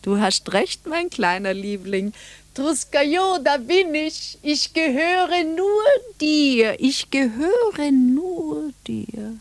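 A woman's voice: sits high, expressive, slow pace, a little hiss or hum, exaggerated voice. A woman playing a sentimental, lovesick older widow in a radio play, theatrical and gushing. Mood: lovesick, tearful.

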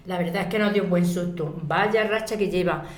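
A woman's voice: on the low side, normal speed, lightly acted. A woman with an accent from Spain acting a chatty, curious neighbour in an audio drama. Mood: chatty, reassuring. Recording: echoey room.

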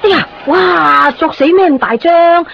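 A woman's voice: extremely high pitch, a little slow, creaky, exaggerated voice. A woman playing a grumbling, exclamatory elderly auntie in a Hong Kong Cantonese radio drama. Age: older. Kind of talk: 1990s radio drama acting. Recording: muffled lo-fi radio recording, faint noise.

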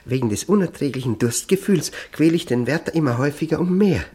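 Man playing a tormented male prisoner, radio drama, feverish, halting repetitions, highly animated pitch. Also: lightly acted. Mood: feverish, driven. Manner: intense, feverish, halting repetitions and sudden outbursts. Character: obsessed, thirst-tormented male prisoner losing his mind over chess. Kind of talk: German radio drama monologue and dialogue.

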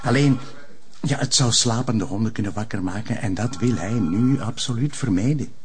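An actor playing a guarded, conciliatory police officer with a Flemish accent and a hesitant, placating manner. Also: male voice, fairly low pitch, moderate pace, faint music behind, natural voice. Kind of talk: Flemish television crime drama acting.

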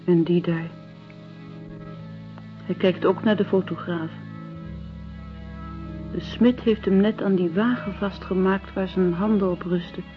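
A woman's voice: on the low side, fairly even intonation, a little slow, pauses often, music in the background, lightly acted. Earnest, idealistic young lady played by a woman. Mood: curious, observant. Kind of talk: radio drama acting. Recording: dull lo-fi radio play recording.

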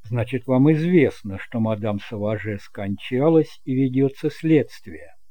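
A man's voice: medium pitch, lively intonation, a little slow, dark tone, no echo, natural voice. A man playing a curt, formal police guard questioning visitors in an amateur audio drama. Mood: suspicious.

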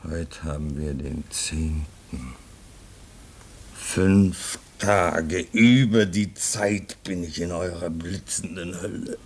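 A man's voice: sits low, slow pace, breathy edge, heavily acted. An actor in a German radio play as a homesick, restless man at a polar station, brooding and theatrical, swinging between muttering and outcry. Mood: brooding, weary.

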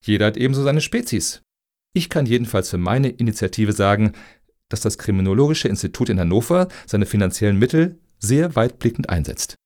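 A man's voice: on the low side, animated, a little fast, darkish tone, lightly acted. A man acting in a radio satire as a blunt, cynical grant applicant with a dry, sarcastic manner. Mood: smug.

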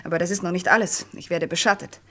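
An actress playing an anxious, conspiratorial smuggling accomplice in a vintage German radio crime drama, speaking with urgency. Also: female voice, fairly low pitch, steady tone, quick pace, pushed and bright. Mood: urgent, tense.

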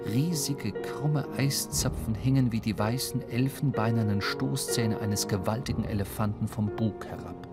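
An actor playing a reflective seafaring narrator, reading literary prose in a radio drama. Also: male voice, medium pitch, close to an everyday voice. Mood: evocative. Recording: music under the voice. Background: music.

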